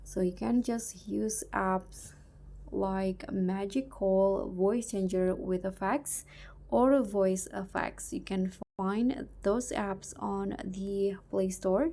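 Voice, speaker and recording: A woman narrates a how-to tutorial at a slow pace, with plenty of vocal fry. Her tone is soft-edged and mellow, and she explains each step in a halting, instructional manner. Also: medium pitch, steady tone. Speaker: tutorial host.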